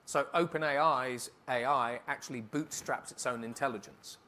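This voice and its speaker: A man speaking as a keynote speaker, answering on stage in a conference hall in a brisk, emphatic way and rattling off lists. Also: medium pitch, bright, forceful tone, a little hiss or hum.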